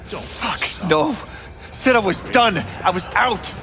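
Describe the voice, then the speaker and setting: A defensive male street informant for a video game. His voice sits fairly high and he is agitated, profane and grudging. Music plays clearly in the background. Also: animated, takes it slowly, heavily acted. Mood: angry, rattled. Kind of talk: video game dialogue acting.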